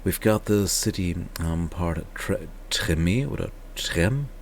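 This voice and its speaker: Deep male voice, slow delivery, casual reviewer, halting and unscripted. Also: animated, slight background noise. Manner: halting, searching for words, often chuckling to himself. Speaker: reviewer, video host. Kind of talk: casual off-the-cuff book review.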